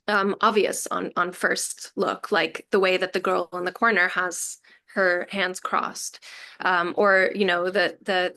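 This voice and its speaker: A woman's voice: on the low side, a bit bright. A woman artist discussing their work conversationally, reflective and hesitant as they think aloud. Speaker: artist, panel guest.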